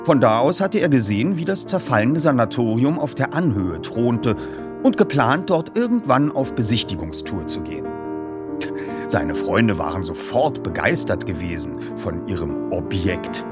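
A man hosting a feature and reading a story aloud as an expressive narrator. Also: mid-range voice, normal speed, over loud music. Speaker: host and narrator. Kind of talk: podcast introduction and audiobook reading.

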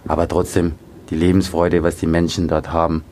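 A man from Nigeria, talking in a relaxed, halting way in an interview, with many fillers. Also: deep voice, slight background noise. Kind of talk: casual interview.

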